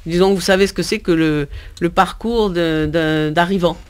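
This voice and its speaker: A man's voice: high voice, normal speed, smooth. A man hosting a radio interview, steering guests and often affirming them with short interjections. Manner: frequent "voilà", affirming interjections. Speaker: radio host.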